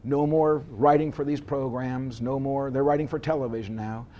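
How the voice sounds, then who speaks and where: An American man giving an informal history lecture, explaining deliberately like a storyteller. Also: mid-range voice, steady tone, muffled recording. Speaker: history instructor.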